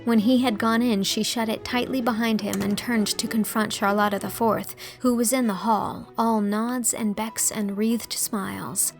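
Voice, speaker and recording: A woman's voice: a little high, fairly even intonation, a little slow, few pauses, faint music behind. A woman narrating an audiobook in a scripted storytelling style.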